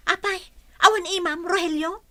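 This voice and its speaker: A woman acting a discontented, nagging wife with a Filipino accent in a radio drama, querulous and quick to retort. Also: extremely high pitch, steady tone, bright, forceful tone, no room sound. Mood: indignant.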